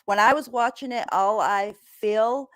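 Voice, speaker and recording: A woman's voice: a little high, measured pace. A woman on a talk panel, chatting informally in a blunt, scornful manner. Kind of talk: casual gossip chat.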